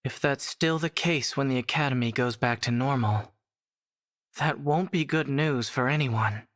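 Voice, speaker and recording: A worried, burdened young man in anime game dialogue, played by an actor. He speaks slowly at a medium pitch, sombre and measured throughout. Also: fairly bright tone, close to an everyday voice. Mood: troubled.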